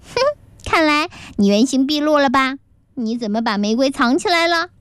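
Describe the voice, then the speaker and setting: A woman's voice: high voice, expressive, moderate pace, fairly bright tone, no echo. A woman voicing a pouty, teasing young child who turns whiny and squealing, in a children's story. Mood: eager. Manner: whiny, squealing when upset.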